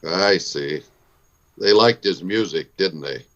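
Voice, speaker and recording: Man acting a deep-voiced, weary Western marshal. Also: slow, fairly bright tone, dull audio, exaggerated voice. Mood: firm. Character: weary, steadfast male U.S. marshal in an old West town. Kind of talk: recreated 1950s radio western acting.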